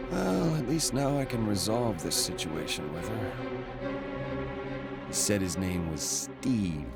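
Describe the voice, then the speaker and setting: A man's voice: lowish voice, expressive, slow, vocal fry, long pauses, heavily acted. A man voicing an anxious, stressed young man who rambles nervously and swears, in a video game cutscene with music behind. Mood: frustrated.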